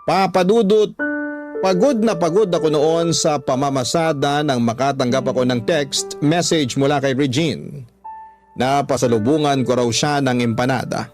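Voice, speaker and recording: An actor playing a weary tricycle driver telling his love story in first person for radio, measured and confiding. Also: a little high, music in the background, natural voice. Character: weary male tricycle driver recounting his relationship troubles.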